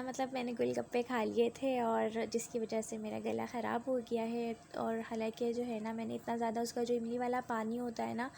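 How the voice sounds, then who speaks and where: Young woman vlogger with a sore throat, gravelly and mellow, chatty rambling voiceover. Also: fairly high pitch, a little hiss or hum. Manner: rambling and conversational, with many tag fillers. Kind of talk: chatty voice-over narration of a daily vlog.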